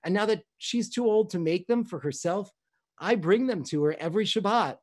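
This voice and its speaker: A kind young woman who bakes for her grandmother and speaks softly and warmly, as a storyteller puts her on. The voice is lifted higher than a man's own. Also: lively intonation, moderate pace. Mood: gentle.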